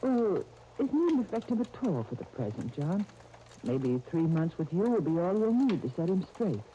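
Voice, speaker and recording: Through the noise of a dull 1930s broadcast disc comes a gentle American fiancée. She is reasonable and kind, with a mellow voice and expressive melody in the old radio soap manner. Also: on the low side, normal speed. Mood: gentle, affectionate.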